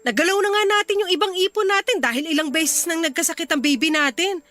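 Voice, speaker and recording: A woman playing an expressive young wife and mother in a radio drama, emphatic and full of pointed questions. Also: very high-pitched, fast talker. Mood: exasperated, reproachful. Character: exasperated young wife and mother, teasing then reproachful. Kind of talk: radio drama acting in dialogue. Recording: music under the voice. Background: background music.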